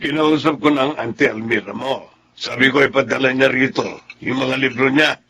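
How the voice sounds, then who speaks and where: A man's voice: medium pitch, fairly even intonation, normal speed, a bit bright, close to an everyday voice. A man playing a stern, controlling middle-aged father in a Filipino drama, speaking insistently with a Filipino accent. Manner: insistent, authoritarian. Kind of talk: Filipino family TV drama acting.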